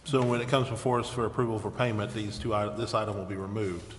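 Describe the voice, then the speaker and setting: A man's voice: fairly low pitch, level tone, fast, crowd noise. A man presiding over a meeting in formal, procedural language. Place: indoor council chamber. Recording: echoey council chamber, faint background noise.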